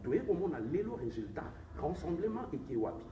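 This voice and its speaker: A man's voice: on the high side, big pitch swings, fairly quick, dull audio. A man with a Congolese accent argues insistently in a political interview, switching between languages as he makes his case. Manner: insistent, argumentative, code-switching. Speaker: interviewed politician, presidential candidate. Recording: distant, echoey, noisy room recording.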